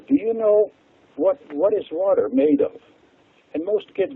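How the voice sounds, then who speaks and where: Older Hungarian man, gravelly, on a phone line. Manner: lively, wide-swinging pitch as he explains. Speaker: guest expert. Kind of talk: radio interview, explaining at length.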